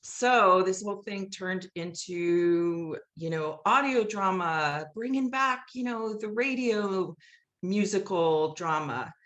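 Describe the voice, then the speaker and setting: A woman's voice: medium pitch, slow, a bit bright. A woman speaking casually and conversationally as a guest in a podcast interview. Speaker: podcast guest, musical writer.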